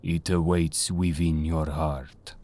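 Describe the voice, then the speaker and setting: Man acting a deep, slow, solemn Egyptian avenger. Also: little pitch movement, background noise. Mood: grave, menacing. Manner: slow, grave, portentous. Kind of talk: dramatic audio manga acting. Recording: voice over prominent dramatic music.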